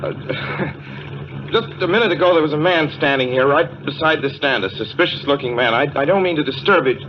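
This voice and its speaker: An actor playing a haunted, lonely motorist in a 1940s radio suspense drama, speaking with brooding, deliberate, dramatic phrasing. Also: male voice, on the high side, animated, background noise, lightly acted. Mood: anxious pleading. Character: haunted, lonely male motorist narrating his eerie road journey. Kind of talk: 1940s radio acting, dramatic first-person narration. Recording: muffled 1940s radio recording, music behind.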